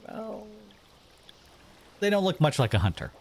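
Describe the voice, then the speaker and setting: A man's voice: sits high, big pitch swings, measured pace, creaky, slight background noise, exaggerated voice. A man playing a curious, casual merfolk monster in an audio drama, questioning and a little hesitant and skeptical. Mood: puzzled. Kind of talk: scripted audio drama acting.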